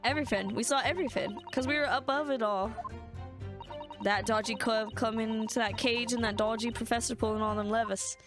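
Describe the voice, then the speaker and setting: A woman's voice: a little high, steady tone, natural voice. Brash, slangy young woman inspector, read by a woman streamer, game score underneath. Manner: slangy, brash, quick-talking. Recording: game music behind.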